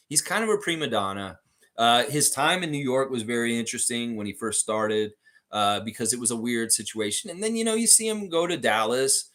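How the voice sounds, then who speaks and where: A man talks casually on a live stream, upbeat and rambling. He reads viewer comments aloud and answers each one, his voice at an ordinary middle pitch. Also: fairly bright tone. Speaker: host of a fan live stream.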